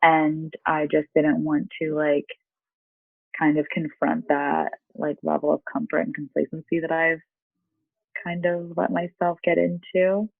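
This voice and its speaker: A woman's voice: low voice, flat delivery, measured pace, creaky, no room sound, phone-quality sound. A young woman, a guest at a tarot reading, chatting casually in an informal, reflective and self-deprecating way over thin call audio. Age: young adult. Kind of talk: casual chat.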